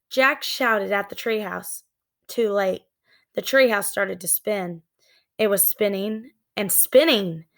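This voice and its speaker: A woman narrating a children's book in a deliberate, expressive storytelling manner. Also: medium pitch, gravelly. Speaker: read-aloud storyteller.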